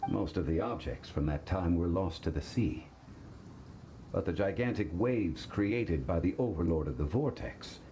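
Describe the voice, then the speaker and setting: A man voicing a composed, ancient male scholar in a video game, speaking in a measured, formal, explanatory way. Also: sits low, warm tone, a little hiss or hum.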